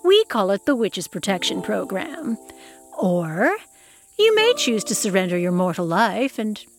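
Composed, expressive witch leader, played by a woman. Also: medium pitch, measured pace, soft background music. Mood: friendly, explanatory. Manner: explanatory, matter-of-fact. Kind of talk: audio theatre comedy acting.

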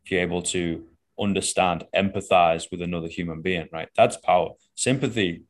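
Deep-voiced blunt Northern English coach. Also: male voice, fairly even intonation, moderate pace. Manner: blunt and profane, says "right?" and "man" after almost every point. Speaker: fitness coach. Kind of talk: casual podcast chat.

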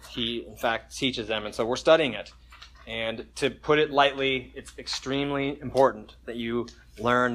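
A man's voice: medium pitch, a bit bright, slight background noise. A man preaching a conversational sermon at a church service, joking casually and reading scripture with emphasis. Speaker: pastor.

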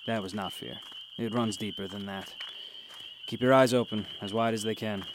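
An actor playing a confident, philosophical mentor in a radio drama, with a teasing, cryptic manner. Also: male voice, fairly low pitch, steady tone, normal speed, noisy background, natural voice. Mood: calm, cryptic. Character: cryptic, wry, confident male adventurer and mentor. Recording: music behind the voice.

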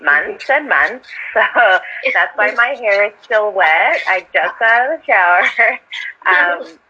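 A woman's voice: mid-range voice, animated, slow, pushed and bright, muffled recording, slight background noise. A woman in her thirties from Edmonton, Canada, chatting cheerfully as a guest on a live stream. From Edmonton, Alberta, Canada. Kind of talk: casual chat on a live stream.

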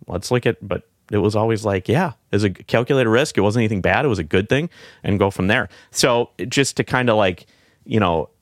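A middle-aged man with a very low, deep voice. He talks in a reflective, halting way, circling back with fillers and restarts. The sound is close and dry, with no room around it. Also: dry recording. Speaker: podcast guest. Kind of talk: casual heartfelt conversation.

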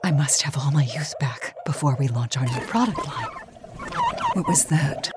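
A woman's voice: sits low, wildly swooping pitch, normal speed. An actress playing an imperious, obsessive cosmetics queen craving youth, demanding and hissing, in a campy audio drama. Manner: demanding, breathy hissing emphasis.

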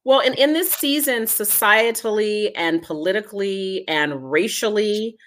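A woman's voice: medium pitch, a little slow, a bit bright. A woman guest from a genealogy foundation, speaking earnestly in an interview and repeating her key points for emphasis. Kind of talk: conversational interview.

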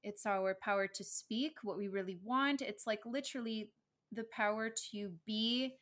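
A woman's voice: medium pitch, normal speed, fairly bright tone. A woman co-hosting a podcast, speaking earnestly and reflectively about spirituality in casual conversation. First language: English. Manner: earnest, reflective, laughs easily. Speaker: podcast co-host.

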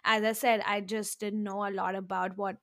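A woman's voice: mid-range voice, level tone, moderate pace, fairly bright tone, no echo, clean studio sound. A woman hosting a podcast, chatting in a reflective, casual way and often saying "like". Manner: reflective, frequent "like" fillers. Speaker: podcast host. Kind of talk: casual conversational interview. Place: in Minnesota.

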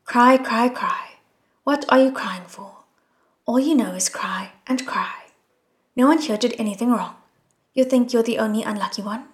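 A woman's voice: a little high, moderate pace, lightly acted. A woman voicing an irritable bystander snapping at a crying woman. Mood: scornful. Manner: snapping, scornful.

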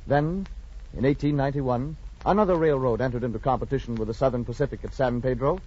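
A man's voice: medium pitch, fast talker, darkish tone, husky. A man reading scripted narration in a formal, dramatic 1940s radio style. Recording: muffled 1940s radio recording.

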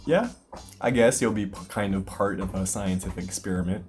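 Low-voiced American man hosting a podcast. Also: brisk, a little mellow, soft background music. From United States. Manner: explains patiently, gives clear examples. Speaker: podcast host. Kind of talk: casual language-learning podcast chat. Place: lives in Brazil.

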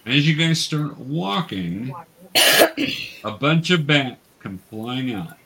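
A man's voice: mid-range voice, sing-song, slow, vocal fry, sharp tone. Man guiding a game over faint noise. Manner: patient, step-by-step explaining, hesitant. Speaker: dungeon master, teacher. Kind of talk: guiding an online tabletop role-playing game.

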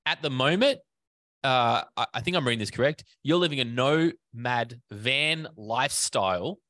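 A man's voice: medium pitch, animated, moderate pace, pushed and bright, dry recording. A man hosting a fitness business podcast, talking casually with many fillers and restarts. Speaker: podcast host. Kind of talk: casual interview.

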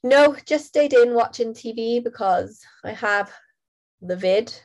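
A woman, co-hosting a podcast in casual chat while nasal and hoarse from a cold. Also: a little high, a little slow, low-quality recording. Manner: chatty, laughs often. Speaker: podcast co-host.

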